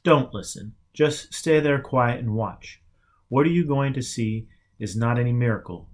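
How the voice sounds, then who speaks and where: A calm male mystic teacher as a man reads him aloud in a storybook. He speaks in a mid-range voice with short, instructive commands. There is a teasing edge to his guidance. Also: medium pitch, unhurried, lightly acted.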